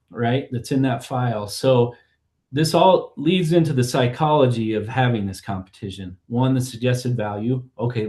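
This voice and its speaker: A man's voice: medium pitch, darkish tone. Man explaining pricing in a casual webinar. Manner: explanatory, voicing buyers' imagined thoughts. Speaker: company co-founder on a webinar.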